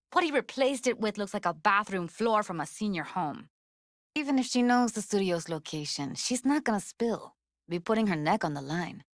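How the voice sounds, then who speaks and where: A woman's voice: medium pitch, dry recording, studio quality, lightly acted. A woman voice-acting a tough, blunt but tender-hearted young techie in a video game, with clipped, slangy, sweary phrasing.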